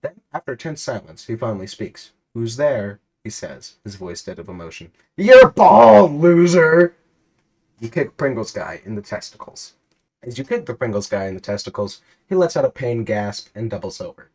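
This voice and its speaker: A man giving a comic dramatic reading of a story, in a deadpan manner, sometimes stumbling and rereading lines. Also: lowish voice, fairly quick, husky. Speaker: reader.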